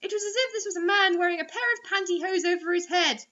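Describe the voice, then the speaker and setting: A woman with a bright, very high voice hosts a story reading. She delivers it theatrically and drops in sarcastic, mocking comments. The recording is dull and lo-fi. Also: fast. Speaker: host reading bad online fiction aloud.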